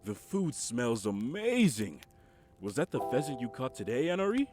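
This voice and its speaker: A man's voice: mid-range voice, very animated, normal speed, darkish tone, lightly acted. A man playing a cheerful, friendly character in a fantasy game. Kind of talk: visual novel character acting. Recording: game audio with music behind.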